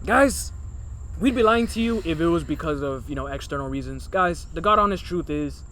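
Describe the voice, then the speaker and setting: Young podcast co-host from Miami, early twenties, loose and rambling, light noise underneath. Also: male voice, fairly high pitch, expressive, moderate pace, a bit bright. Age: early 20s. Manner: loose, rambling, addresses viewers as guys. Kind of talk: casual podcast chat.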